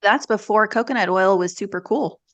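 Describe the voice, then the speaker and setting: A woman's voice: medium pitch, moderate pace. A woman hosting a podcast, asking curious follow-up questions in a casual chat. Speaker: podcast host, dentist.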